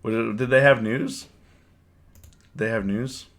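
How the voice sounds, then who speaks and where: A man with a cold and a hoarse voice, hosting a casual live stream and chatting with his viewers, often repeating his words. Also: medium pitch, steady tone, takes it slowly, a bit bright. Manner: rambling, repeats words, reads chat aloud. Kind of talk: casual live stream chat about trading.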